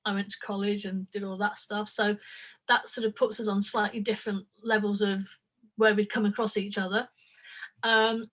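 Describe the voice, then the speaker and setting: A woman's voice: mid-range voice, fairly bright tone, low-quality recording. An older woman, a writer being interviewed over a video call, talking openly and affectionately with frequent laughs. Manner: open and affectionate, laughing often, rambling with fillers. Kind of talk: casual interview chat.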